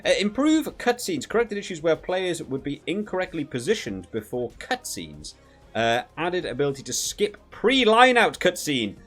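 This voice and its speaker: A man's voice: on the high side, sing-song, normal speed, a bit bright, soft background music. A man hosting a video game review with casual, rambling, conversational commentary.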